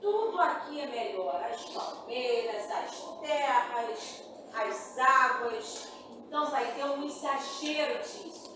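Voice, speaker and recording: A woman's voice: sits high, lively intonation, slow. A woman with a Brazilian accent lecturing a class as a teacher, explaining things informally and with emphasis. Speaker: literature and writing teacher. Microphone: distant from speaker. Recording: echoey room, distant mic, noisy.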